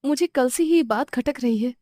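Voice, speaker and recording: Woman acting anxious young woman, high voice, insistent, urgent, dry recording, animated horror story. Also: moderate pace, no room sound, natural voice. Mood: uneasy, suspicious. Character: worried young woman, suspicious insistent girlfriend.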